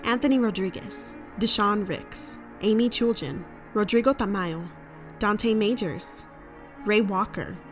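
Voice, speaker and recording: A woman serves as the announcer for an audio drama's credits. Her pitch is middling and the read is scripted, with music clearly playing behind her.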